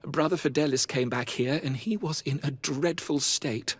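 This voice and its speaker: A man's voice: fairly high pitch, normal speed, breathy edge. A man playing an anxious, pious cleric in a video game, speaking formally and fretfully. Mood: worried, pleading. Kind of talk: video game dialogue acting. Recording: long reverberant hall, slightly muddied.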